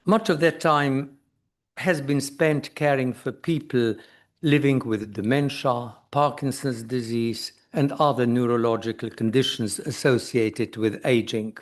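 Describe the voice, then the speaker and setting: Slow, measured older man. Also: fairly even intonation. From Slovenia. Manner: measured, deliberate, trailing low at phrase ends. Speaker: conference speaker. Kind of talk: formal prepared speech.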